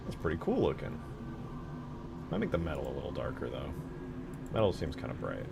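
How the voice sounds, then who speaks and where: A man's voice: very deep voice, huge pitch swings, brisk, creaky, heavy background noise. A man giving casual commentary as he works on screen, thinking aloud as he goes. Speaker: game modder hosting a video.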